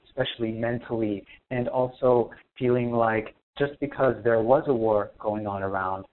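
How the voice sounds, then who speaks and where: A man from Lebanon, a spiritual teacher guest, answering in a soft, reflective, hesitant way. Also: medium pitch. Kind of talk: interview answers. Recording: thin phone-like line.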